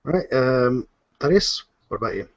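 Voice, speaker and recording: A man's voice: medium pitch, animated, moderate pace, gravelly. A man hosting a casual question and answer chat, speaking in a hesitant, rambling way with repeated phrases on a lo-fi connection. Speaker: host, writer and producer of a fan audio drama. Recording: dull lo-fi voice call.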